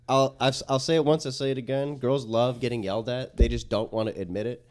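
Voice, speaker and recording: A man co-hosting a casual podcast, ranting in an animated, opinionated way. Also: medium pitch, a little fast, smooth. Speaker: podcast co-host. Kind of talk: casual banter.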